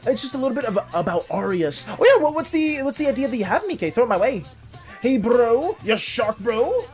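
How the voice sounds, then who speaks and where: A streamer chatting with their viewers in a loose, rambling way, reacting to each message as it arrives. The voice sits in a middle pitch but swings widely up and down. Soft music plays faintly in the background. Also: a little fast. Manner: animated, rambling, reacts to chat. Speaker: art and game streamer. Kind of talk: casual livestream chat with viewers.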